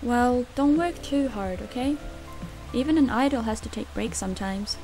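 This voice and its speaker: A woman plays a friendly young cat-girl idol in a visual novel. Her voice is high, breezy and teasing with a caring streak. Game music and rain play softly behind. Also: expressive, moderate pace. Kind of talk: visual novel fan dub.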